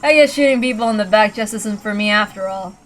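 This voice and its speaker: A woman voicing a villainous uncle in a visual novel, casual and calm, with a mockingly kind manner. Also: medium pitch, brisk, soft background music, natural voice, low-quality recording. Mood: flippant, calm. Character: cold, joking, villainous uncle with a gun. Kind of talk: dramatic visual novel character reading.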